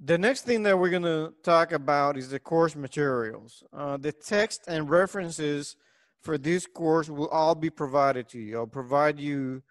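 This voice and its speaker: Halting Puerto Rican man, clear voice. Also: on the high side, dry recording. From Puerto Rico. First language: Spanish. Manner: halting, frequent hesitations and pauses. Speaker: engineering lecturer. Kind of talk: lecture.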